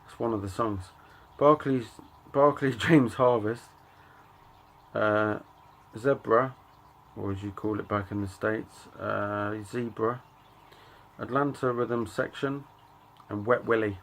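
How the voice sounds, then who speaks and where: Low-voiced middle-aged man, slightly gravelly. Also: a bit bright. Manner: halting, offhand, reads out titles in short bursts. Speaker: record collector and channel host. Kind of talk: casual show-and-tell commentary.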